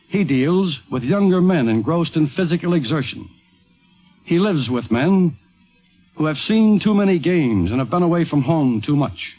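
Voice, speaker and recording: A man narrating a scripted radio documentary in a 1950s broadcast style. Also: fairly high pitch. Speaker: narrator and host. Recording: dull vintage 1950s radio recording.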